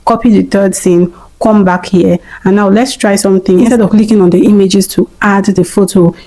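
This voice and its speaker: A woman hosting a screen-recorded software tutorial, calmly explaining each step in order. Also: medium pitch, moderate pace, low-quality recording. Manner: calm, step-by-step instructing. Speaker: YouTube tutorial host.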